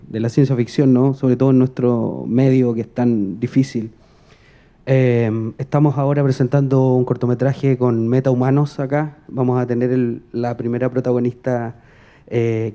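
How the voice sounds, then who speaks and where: A man's voice: medium pitch, mellow, dull audio. A man asking an informal audience question at a conference, rambling in short, hesitant phrases.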